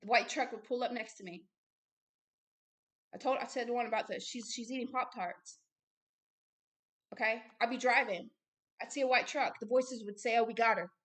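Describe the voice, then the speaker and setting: Emphatic, bright-voiced woman preaching. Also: on the high side, moderate pace, long pauses. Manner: emphatic, blunt, repeats phrases for stress. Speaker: ministry founder and host reading and commenting on a book.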